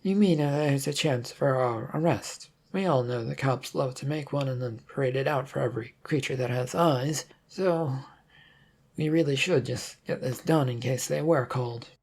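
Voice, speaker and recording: A narrator playing an uneasy, impatient detective in a space whodunit story. The husky, creaky voice sits a little above plain narration and sounds pointed and urgent. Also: mid-range voice, normal speed, darkish tone.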